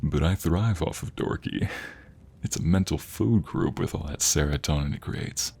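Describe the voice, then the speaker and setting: Deep, gravelly, affectionate husband played by a man. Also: exaggerated voice. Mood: playful, amused. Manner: teasing, tender, chuckling, with drawn-out soft phrases. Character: affectionate, playful young husband sweet-talking his wife. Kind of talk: intimate ASMR romantic roleplay.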